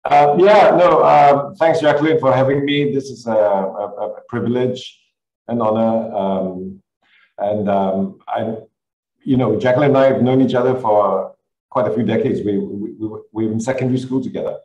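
A man's voice: medium pitch, normal speed, a little mellow. A man joining a webinar as a guest over a video call, speaking hesitantly with stammering restarts. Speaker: webinar guest, tech agency CEO. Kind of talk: webinar conversation. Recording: roomy, distant, dull laptop audio.

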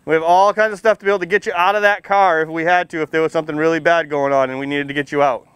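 A middle-aged American man speaks as a firefighter showing young children around, projecting a high, clean voice. His delivery is informal and folksy, full of simple questions. He is outdoors, and the sound is dry, with no echo. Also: fairly even intonation, rapid-fire, a bit bright, smooth. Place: outdoors, under a tent and beside a fire truck.

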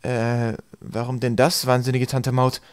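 A man's voice: mid-range voice, monotone, measured pace, smooth, dry recording, natural voice. A timid, courteous young boy from a storybook played by a man. He speaks hesitantly and politely, sounding puzzled, in a slightly raised voice. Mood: bewildered.

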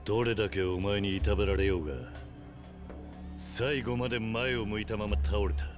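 An actor playing a stoic veteran gangster in a game, blunt, grave and commanding. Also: male voice, lowish voice, steady tone, normal speed, over music, natural voice. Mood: grave, defiant. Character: stern, stoic veteran yakuza tough guy. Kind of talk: video game cutscene acting.

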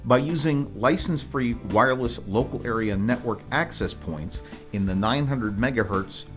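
An American man reading scripted promotional narration in a formal, measured, informational manner. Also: medium pitch. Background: music bed.

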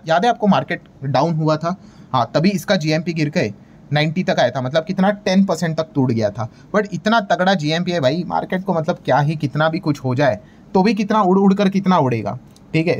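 A man's voice: fairly high pitch, darkish tone. An Indian man giving a casual, emphatic explainer as a market video host, conversational, hyping things up and mixing in English terms with repeated check-in phrases. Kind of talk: casual explainer commentary over screen graphics.

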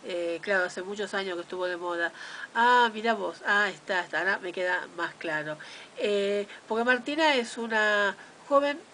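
A woman's voice: medium pitch, normal speed, sharp tone, slight background noise. A woman with an Argentine accent hosting a radio interview, chatty and often repeating words as she talks. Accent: Argentine Spanish. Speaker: radio host. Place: small radio studio.

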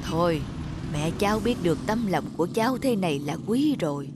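An actress playing a gentle, plain-spoken elderly village mother in a cartoon, talking unhurriedly. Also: on the low side, expressive, fairly quick, very noisy, lightly acted. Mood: wistful, kindly. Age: older. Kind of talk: cartoon voice acting.

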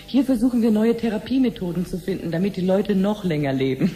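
An actress playing a friendly, patient cancer researcher in a radio drama, explaining things clearly like a teacher. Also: female voice, mid-range voice, fast talker, dark tone, faint music behind, close to an everyday voice. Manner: didactic, clearly phrased explaining. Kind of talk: 1970s German radio drama acting.

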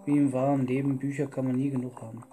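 A man's voice: mid-range voice, little pitch movement, fast, background noise. A man talking casually as he plays and records a let's play video game session. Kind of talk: casual gameplay chat. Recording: instrumental music behind the voice. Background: instrumental music.

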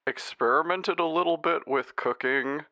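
A man voicing a lovestruck young warlord in an animated parody, hesitant and casual even when menacing. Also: fairly high pitch, moderate pace, muffled recording, lightly acted. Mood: awkward.

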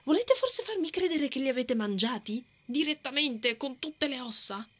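Woman playing female knight, ASMR roleplay, higher-pitched, husky breathy tone, brave, hot-tempered, tsundere, easily flustered. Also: expressive, normal speed, fairly bright tone. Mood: indignant, confrontational. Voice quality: breathy, often dropping to a whisper. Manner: tsundere, blustering, flustered stammering.

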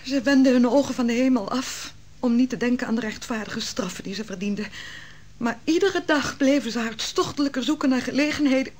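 An actress playing Susanna, a proud noblewoman falsely accused, speaking in a hushed, intense way in a vintage radio drama. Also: on the high side, a little fast, lightly acted.

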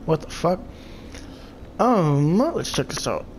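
A young man, stuffed up and congested, rattles off casual commentary as he plays. His voice sits a bit high and swings widely in pitch, full of profane trash talk at enemies. A faint hiss lies under the recording. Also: big pitch swings, slow pace, darkish tone. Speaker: let's play host.

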